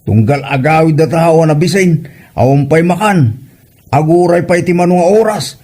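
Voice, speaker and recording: Mellow-voiced grumbling husband played by a man. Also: on the high side, normal speed, natural voice. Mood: exasperated. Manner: grumbling, complaining. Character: exasperated, hungry husband complaining about his wife. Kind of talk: Ilocano comedy drama acting.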